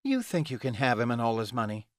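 A man's voice: on the high side, big pitch swings, unhurried, dry recording, clean studio sound, voice pushed up. A man voicing a scornful, taunting female vampire in an audiobook, with a bitter, insulting manner. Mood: snarling.

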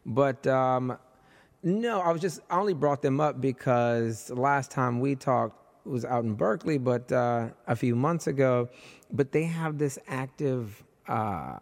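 Hoarse, gravelly man hosting a podcast. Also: medium pitch, unhurried, in a large hall. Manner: animated, voice jumps up when excited. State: hoarse, voice nearly gone. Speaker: podcast host. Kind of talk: casual conversation.

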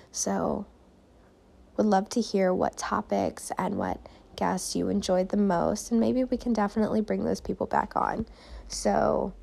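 Young female podcast host, 20s, hoarse and gravelly, chatty and direct with listeners. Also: mid-range voice, a little mellow. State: losing her voice. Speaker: podcast host and life coach. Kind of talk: casual solo podcast chat.

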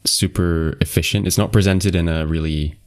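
A young man hosting a podcast, relaxed and laughing often as he asks follow-up questions. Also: low voice, moderate pace. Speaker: podcast host. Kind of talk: casual interview chat.

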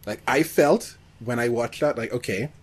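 A man chatting casually as a podcast co-host, expressive and given to acting out reactions. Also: mid-range voice, moderate pace. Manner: expressive, acts out reactions and quotes. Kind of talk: casual film discussion.

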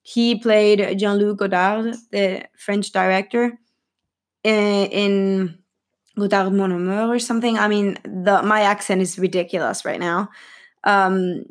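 A young woman vlogging casually about a film's cast, rambling and giggly, with lots of fillers and self-corrections. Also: medium pitch. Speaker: film vlogger.

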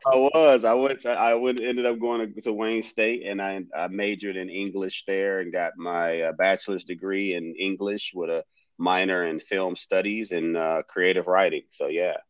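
A man in his forties with a low voice talks as a guest on a remote interview. He rambles with frequent ums and false starts. The sound is dry and close, with no room around it. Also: level tone, normal speed, dry recording, dull audio. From Detroit, USA. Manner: rambling, many ums and restarts. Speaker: guest, comic creator. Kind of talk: casual interview chat. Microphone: headphones, remote call.

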